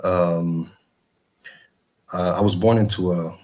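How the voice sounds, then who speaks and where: Deep-voiced man from Morocco, halting storyteller. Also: fairly even intonation, a little mellow. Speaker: guest sharing personal story. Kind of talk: casual personal storytelling.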